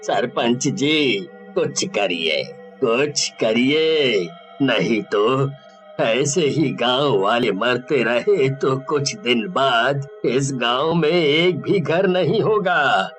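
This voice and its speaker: A man voicing a worried, pleading villager in a horror storybook tale, with alarmed, drawn-out delivery. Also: medium pitch, expressive, a bit bright, soft background music, pitched below the performer's own voice.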